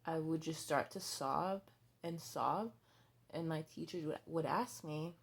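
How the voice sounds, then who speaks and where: Twenty-something woman vlogging, low voice, slow, keeps restarting, swears a lot. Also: vocal fry, husky. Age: 20s. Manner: halting, hesitant, with many restarts and fillers; blunt and candid, swearing freely. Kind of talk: personal confessional vlog.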